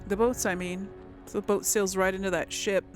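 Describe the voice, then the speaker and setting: A woman's voice: medium pitch, fairly even intonation, moderate pace, soft background music. A woman giving casual commentary on a game she plays, hesitant and thinking aloud. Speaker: game streamer, let's player.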